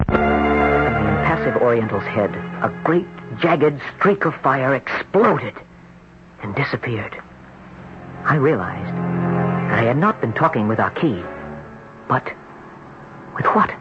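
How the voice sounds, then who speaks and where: A man's voice: mid-range voice, very animated, takes it slowly, long pauses, over music. Earnest troubled young man, mid-range voice, vintage radio melodrama, muffled old recording. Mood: haunted narration. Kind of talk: old-time radio drama acting and first-person narration.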